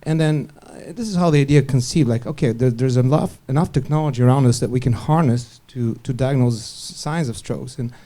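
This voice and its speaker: A man from Bulgaria, a physician on a panel, telling a story with many halting restarts and fillers. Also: medium pitch, dark tone.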